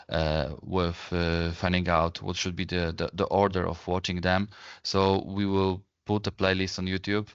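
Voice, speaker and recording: A man explaining things in a webinar as a company presenter, in a halting way, often repeating and rephrasing his words. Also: deep voice, fairly bright tone, no room sound, lo-fi sound.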